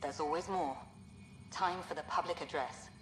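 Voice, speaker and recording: A woman's voice: sits low, normal speed, a bit bright, reverberant. An actress playing a stern, pragmatic woman who directs a colony, speaking with dry skepticism. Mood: wry.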